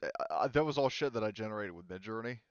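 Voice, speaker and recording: A low-pitched man with a smooth, clean tone narrates a tabletop game off the cuff. He is informal and halting, with some swearing, on a dry, slightly dull recording. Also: expressive, no echo. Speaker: game master of a tabletop roleplaying game. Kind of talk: casual improvised game narration and table chat.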